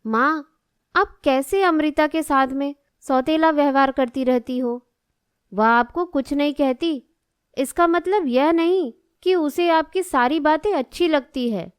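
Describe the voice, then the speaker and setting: A woman's voice: sits high, measured pace, dry recording, studio quality. A woman voicing an outspoken young daughter in a cartoon story, speaking up reproachfully for her sister. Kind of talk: Hindi cartoon story dialogue.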